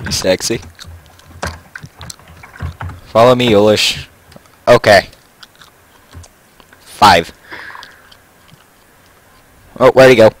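A man's voice: fairly low pitch, extremely slow, pauses often, a little hiss or hum, poor audio. A teenage boy chatting casually while playing a game, goofy and teasing, laughing often. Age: teens. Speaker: gamer recording gameplay. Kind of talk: casual gameplay chat.

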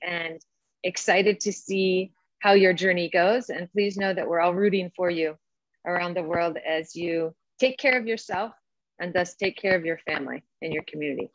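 A woman giving an informal, encouraging welcome speech as a program director, often pausing as she finds her phrasing. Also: lowish voice, no echo. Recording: dull lo-fi audio.

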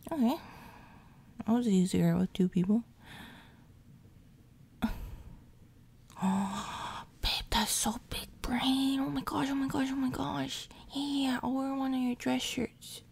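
A woman speaks softly in a mellow, creaky half-whisper, as if chatting privately to a partner. She rambles and repeats short phrases. The recording is rough, with a low machine noise running behind her. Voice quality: soft, half-whispered, breathy. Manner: rambling, repeats words in quick runs. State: recovering from jaw surgery. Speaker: ASMR roleplay performer. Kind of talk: soft, breathy ASMR chat to an unheard partner. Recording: low-quality audio with steady background machine noise.

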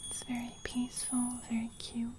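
A young woman whispering a gentle ASMR roleplay very close to the microphone, breathy, softly repeating phrases. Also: fairly high pitch, monotone, moderate pace, noisy background. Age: young adult. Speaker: ASMR host roleplaying a sleep fairy. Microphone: close studio microphone.

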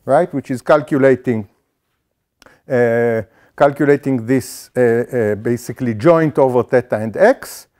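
A man's voice: mid-range voice, measured pace, darkish tone. A middle-aged man giving an academic statistics lecture in an auditorium, explaining step by step with frequent fillers and restarts.

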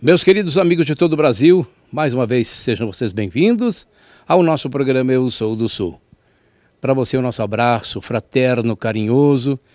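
Middle-aged male host, folksy and chatty, mid-pitched, very dry close mic. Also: dry recording. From southern Brazil. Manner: warm, folksy, chatty with small laughs. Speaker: TV show host. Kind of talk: friendly direct address to viewers. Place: outdoors in front of a wooden fence. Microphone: handheld mic.

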